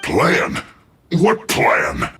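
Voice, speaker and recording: Echoey, exasperated soldier. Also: male voice, on the low side, wildly swooping pitch, drawn-out words, bright, forceful tone, soft background music, full character voice. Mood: wary, annoyed. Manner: exasperated, whining protests. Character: put-upon, aggrieved male soldier, a hapless minor villain. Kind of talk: anime video game voice acting.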